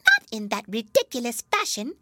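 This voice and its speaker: A woman voicing a sulky small male dormouse in a storybook reading, squeaky and fussy. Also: wildly swooping pitch, moderate pace, pushed and bright, exaggerated voice.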